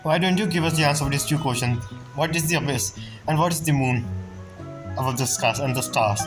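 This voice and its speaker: A man's voice: fairly high pitch, a little fast. Male game streamer: informal, off-the-cuff commentary, questioning aloud, prominent game music underneath. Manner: informal, reacting and asking aloud. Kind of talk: casual live commentary over a game. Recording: loud game music behind. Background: game music and dialogue.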